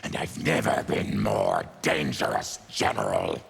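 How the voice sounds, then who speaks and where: A man voicing a savage, diseased mutant warrior and bitter former soldier in a video game, with a gravelly, menacing, forceful growl. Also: very deep voice, wildly swooping pitch, a little slow, exaggerated voice. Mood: defiant, bitter. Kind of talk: video game fighting character dialogue.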